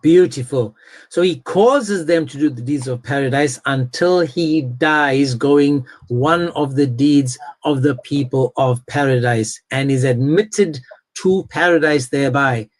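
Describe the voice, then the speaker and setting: A man's voice: mid-range voice, measured pace. A man hosting a live online religious debate, speaking in an insistent, confrontational and emphatic way. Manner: insistent, confrontational, repeats pointed questions. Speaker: debate host.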